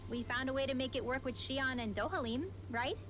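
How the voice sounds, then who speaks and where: A woman's voice: high-pitched, brisk, close to an everyday voice. A woman voicing a hopeful, earnest young party member in fantasy video game acting. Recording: game music under the voice.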